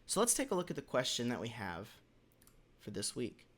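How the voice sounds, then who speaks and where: A man with a hoarse voice, recovering from a cold, giving a casual, measured developer lesson as the host of a weekly question. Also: medium pitch, huge pitch swings, fairly bright tone. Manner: measured, slightly halting, with occasional throat clearing. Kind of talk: casual instructional talk.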